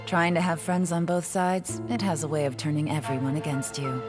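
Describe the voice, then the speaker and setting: Low, cool actress as a female agent, reverberant. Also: flat delivery, close to an everyday voice. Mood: cool, menacing. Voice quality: low-pitched. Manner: measured, coolly taunting, controlled. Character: cool, calculating female intelligence agent, mocking and self-assured. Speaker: video game character. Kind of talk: scripted game voice acting. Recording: long reverb, faint music behind.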